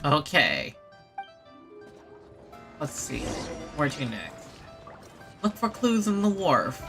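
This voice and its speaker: Casting: female game streamer, casual reactions, lively intonation, slow pace, music faintly behind. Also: sits low. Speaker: gaming streamer. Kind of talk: casual live playthrough commentary.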